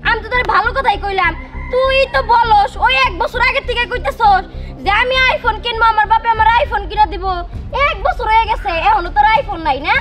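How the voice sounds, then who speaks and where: Woman doing an extremely high-pitched mocking cartoon youngster, Bangladeshi accent. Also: a little fast, fairly bright tone, far from the mic, faint music behind. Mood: taunting. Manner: taunting. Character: mocking, boastful young friend, iPhone-obsessed bully. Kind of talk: cartoon comedy drama dialogue.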